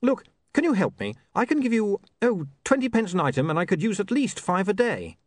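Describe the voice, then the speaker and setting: A man's voice: high voice, big pitch swings, a little fast, dry recording, pitched above the performer's own voice. A man voicing a flustered, guilty young newspaper man with a hesitant, apologetic manner in an audiobook. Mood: desperate, pleading.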